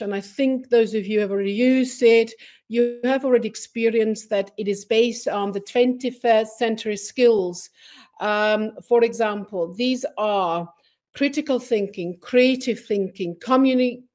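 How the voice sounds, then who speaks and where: A woman's voice: fairly high pitch, dark tone. A middle-aged woman, a teacher educator, presenting a webinar from her computer mic in a friendly, explanatory way with fillers. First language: English.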